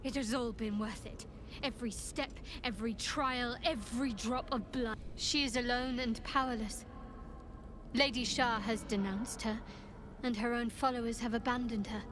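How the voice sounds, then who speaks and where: A woman playing a cold, resolute priestess in a video game, speaking in a measured, self-assured way. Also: fairly high pitch, steady tone, background noise, close to an everyday voice. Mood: proud resolve. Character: resolute, cold young priestess taking command of her cult. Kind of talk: dramatic video game acting.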